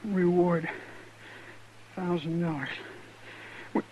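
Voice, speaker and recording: An American actor playing a dying, poor teenage boy gunman in an old radio western, halting, weak and rustic in his speech. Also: high-pitched, fairly even intonation. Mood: weak, desperate. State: weak, fading. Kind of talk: old-time radio drama acting. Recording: muffled, noisy old radio recording.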